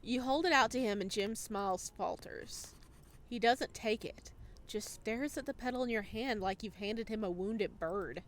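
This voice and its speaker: A woman's voice: fairly high pitch, unhurried, a little hiss or hum. A woman narrating a visual novel playthrough as a gaming creator, with playful commentary.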